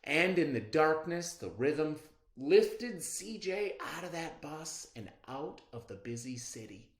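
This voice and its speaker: Children's read-aloud narrator: expressive, widely varied pitch, slow pacing, roomy echo. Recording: roomy, echoing sound.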